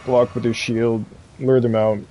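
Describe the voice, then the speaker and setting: A man giving casual gameplay commentary as a streamer, laid-back and halting, often repeating himself. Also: medium pitch, level tone, warm tone, lo-fi sound, slight background noise. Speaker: game streamer giving a walkthrough.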